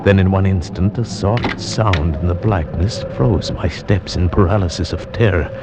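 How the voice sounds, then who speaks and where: An actor playing an uneasy gentleman narrator in a 1940s radio horror play, with grave, theatrical, measured diction. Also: deep voice, very noisy, close to an everyday voice. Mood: brooding suspense. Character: uneasy gentleman narrator recounting a night of terror. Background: dramatic orchestral score.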